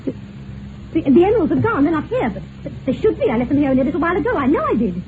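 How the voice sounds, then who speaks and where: Excitable high-pitched society lady. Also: very fast. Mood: anxious. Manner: dramatic, emotional. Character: excitable upper-class society lady in a mystery play. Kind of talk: old-time radio drama acting. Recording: tinny, noisy old radio recording.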